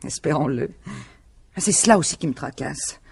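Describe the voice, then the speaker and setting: An actress playing a devoted, anxious wife drawn into a scheme in a vintage French radio mystery, speaking in an earnest, dramatic way. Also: female voice, low voice, lively intonation, normal speed, creaky, darkish tone, husky, dry recording, exaggerated voice. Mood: worried. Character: devoted, anxious wife, reluctant accomplice in a hoax.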